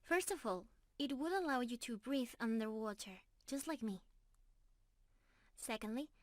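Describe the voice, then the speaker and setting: A woman's voice: fairly high pitch, lively intonation, measured pace, dry recording, clean studio sound. A woman playing a shy, sweet young mermaid in love, speaking earnestly to one listener in an ASMR romance roleplay. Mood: gentle, explaining. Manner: earnest, bashful, explaining gently to one listener.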